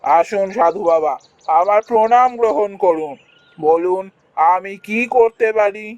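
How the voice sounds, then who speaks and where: A man's voice: high-pitched, a little slow, a little mellow, highs cut off. A man playing a tyrannical, self-justifying king in a cartoon fairy tale, pompous and formal. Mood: falsely benevolent. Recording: faint music behind the voice.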